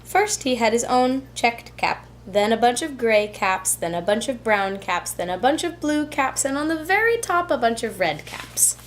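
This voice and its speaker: Woman, storybook reader, animated swooping pitch, deliberate rhythm, teacher reading to children, faint noise behind. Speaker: preschool teacher reading aloud. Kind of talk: expressive children's storybook narration.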